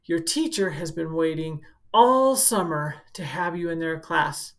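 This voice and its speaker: A woman reads a picture book aloud in the role of a school counselor. Her voice sits fairly low for a woman and has a strong creaky fry. She speaks directly to young listeners, slipping between the text and informal explanations. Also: unhurried. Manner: instructive, speaking directly to children.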